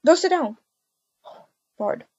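A woman's voice: sits high, animated, slow, warm tone, lo-fi sound, pitched above the performer's own voice, heavily acted. A woman voicing a betrayed, indignant and bossy wife in an amateur game roleplay. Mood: bitter, curt. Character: furious betrayed wife demanding divorce. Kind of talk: amateur voiced Roblox roleplay.

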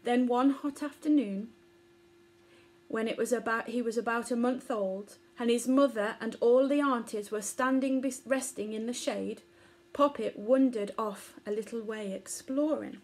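High-voiced woman reading a story. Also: measured pace, darkish tone. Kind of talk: expressive children's story narration. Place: small living room or bedroom, indoors.

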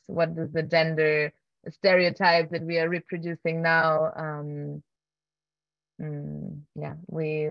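A woman's voice: deep voice, gravelly, no room sound. Hesitant woman on a muffled video call. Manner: hesitant, many fillers and restarts, soft laughs. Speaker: filmmaker, keynote guest. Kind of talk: conversational Q&A answers. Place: home room with wooden beams. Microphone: laptop webcam on video call.

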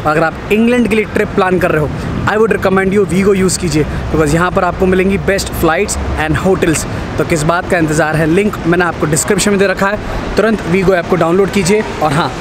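A young man with a high-pitched voice chats enthusiastically while vlogging into a camera held at arm's length. He keeps up a casual travel-guide patter as he moves through busy public places. Loud street and terminal noise stays behind him the whole time. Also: brisk. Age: young adult. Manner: chatty, enthusiastic, mixes English into Hindi. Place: streets, bus and airport terminal. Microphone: handheld camera at arm's length.

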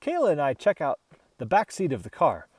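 A man narrates a children's storybook in an expressive, dramatic style. His voice is high for a man and swings widely in pitch, with a dry, close sound. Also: a little fast, breathy edge, dry recording. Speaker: storybook reader.

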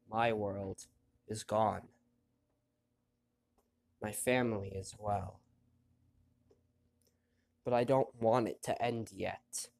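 Male let's-play host, stuffy nose, casual commentary, quietly reads game text. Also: a little hiss or hum. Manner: relaxed, chatty, reads story text in a quiet low voice. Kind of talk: casual gameplay commentary, reading on-screen text aloud.

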